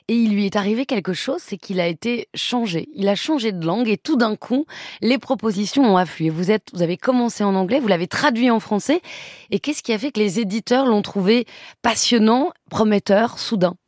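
Woman radio host, crisp and dry. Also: medium pitch, a little mellow, dry recording. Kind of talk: scripted portrait then interview.

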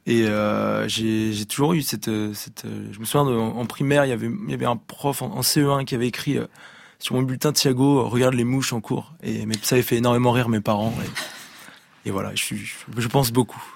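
A young man from Brittany speaks fast in a gravelly voice, stumbling and restarting as he goes. He sits in a dry radio studio, answering as a musician guest. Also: mid-range voice, fairly even intonation, no room sound. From Rennes, Brittany, France. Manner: hesitant, many restarts and fillers. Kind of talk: radio interview.

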